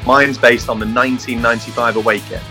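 A man acting out a scripted comic phone-call advert, pitching a product excitedly. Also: quick pace, soft background music.

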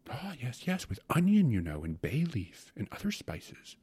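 A man voicing a gluttonous, syrupy court secretary in a storybook reading, breathy and savoring every word as he wheedles and repeats himself. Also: medium pitch, wildly swooping pitch, normal speed, dark tone, no echo, pitched above the performer's own voice, heavily acted. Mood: rapturous, savoring. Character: gluttonous, syrupy male court secretary rhapsodizing about food.

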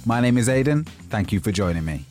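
A man hosting a motivational podcast, a bit croaky from a cold, talking in an informal, chatty, unscripted way. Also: fairly low pitch, very animated, fairly quick, darkish tone, faint music behind. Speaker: podcast host. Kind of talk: motivational talk.

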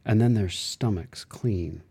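A man reading a literary novel aloud in a measured, expressive way with dramatic phrasing. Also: on the low side, gravelly, husky. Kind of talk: literary audiobook narration.

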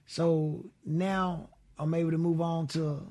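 A middle-aged man from with a raspy voice, telling stories in a relaxed radio interview. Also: a little high. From Mississippi. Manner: relaxed storytelling with Southern grammar and drawn-out pauses. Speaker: guest blues musician.

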